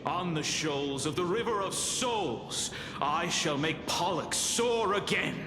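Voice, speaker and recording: Pompous, swooping ancient alchemist. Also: male voice, on the high side, very animated. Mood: boastful, grandiose. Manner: theatrical, pompous, drawn-out. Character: grandiose, eccentric, boastful ancient male alchemist, sinister. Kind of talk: scripted fantasy game voice acting. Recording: echoey hall, music behind.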